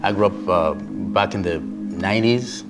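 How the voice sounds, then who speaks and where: A man from Zambia, a documentary filmmaker, explaining his project in a relaxed way. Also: sits low, unhurried, music in the background.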